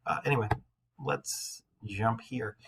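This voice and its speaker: A man's voice: lowish voice, expressive, slow pace, fairly bright tone, slight background noise. A man working as a teacher, reading a story aloud like a storybook and explaining it in casual, conversational asides.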